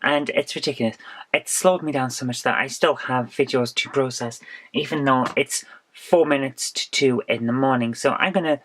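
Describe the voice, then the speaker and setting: A woman's voice: a bass voice, normal speed, poor audio. A woman vlogging from a small home office, her voice stuffy and bunged up from a cold. She talks in a loose, rambling way, circling back with fillers and restarts. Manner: rambling, chatty, with frequent ums and restarts. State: congested, bunged-up voice from illness. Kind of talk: casual vlog chat.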